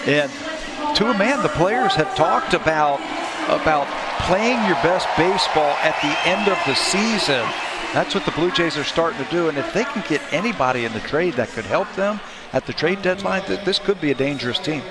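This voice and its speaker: A man working as color analyst on a baseball broadcast, breaking down the game in a measured, analytical way. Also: a little high, animated, very noisy.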